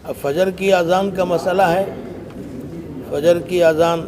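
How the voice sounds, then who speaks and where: A man with an Indian accent making a formal public statement in deliberate, halting short phrases, as a community leader. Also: high-pitched, fairly even intonation. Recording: clear background noise.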